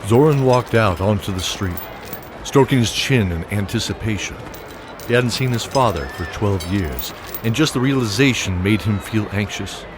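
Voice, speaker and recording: Deep-voiced man narrating a fantasy tale. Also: expressive, normal speed, background noise. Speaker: narrator and game master. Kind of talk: scripted narration.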